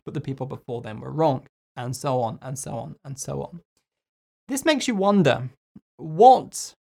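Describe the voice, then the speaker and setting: A man hosting a solo English-learning podcast, hoarse from losing his voice, talking in a chatty, musing way with little asides. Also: fairly high pitch, a little mellow. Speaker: podcast host and English teacher.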